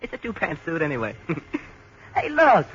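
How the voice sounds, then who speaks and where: A cocky young tourist fires off slangy wisecracks in a bright voice that swings up and down. The sound is a dull, lo-fi 1940s radio broadcast. A faint hiss runs underneath. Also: male voice, a little high, huge pitch swings, moderate pace. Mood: swaggering.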